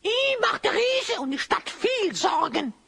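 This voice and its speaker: A sly hyena rabble-rouser from an audio drama. The voice is extremely high, sharp and creaky, delivering theatrical rhymes with a cackling edge. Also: sing-song, a little slow, exaggerated voice. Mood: sly, boastful. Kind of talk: German children's audio drama acting.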